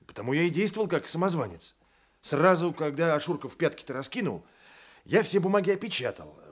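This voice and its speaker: An actor playing a blunt, loyal provincial Cossack sergeant, folksy and emphatic, in a radio play. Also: male voice, on the high side, big pitch swings, a little fast, slightly rough. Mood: wry. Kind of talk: Soviet radio play acting.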